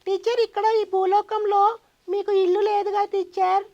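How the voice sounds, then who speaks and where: Puppet show kid: woman playing cheeky, naive little boy, extremely high creaky squeak, pestering questions, muffled lo-fi sound. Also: little pitch movement, normal speed, dark tone. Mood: teasing, pestering.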